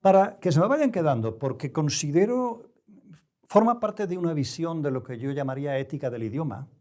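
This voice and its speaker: Interview guest: older male, European Spanish accent, dark relaxed timbre, deliberate, erudite, searching for the exact word. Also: a little high. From Spain. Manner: deliberate, halting, with erudite careful word choice. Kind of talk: reflective interview conversation.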